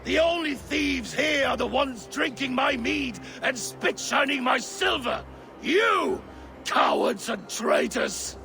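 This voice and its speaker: Gravelly, volatile Viking jarl. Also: male voice, very high voice, expressive, slow, faint music behind, exaggerated voice. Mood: accusing, furious. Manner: theatrical, brooding, bursting into shouts. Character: ailing, paranoid Viking jarl, proud and volatile. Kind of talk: dramatic video game acting.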